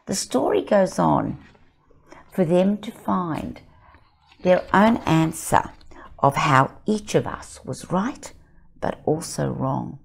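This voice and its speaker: An older woman reads her picture book aloud from an armchair, telling the story with dramatic, expressive flair. Her voice is a little low, husky and gravelly, with a breathy edge. She swoops widely in pitch and takes her time. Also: slow, long pauses. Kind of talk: storybook reading with commentary. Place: indoor studio set, seated in armchair.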